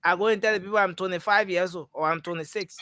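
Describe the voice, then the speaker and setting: A man's voice: high-pitched, steady tone, quick pace, sharp tone. A man hosting a live call-in audio discussion. He repeats words and cuts in to steer the talk. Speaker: host.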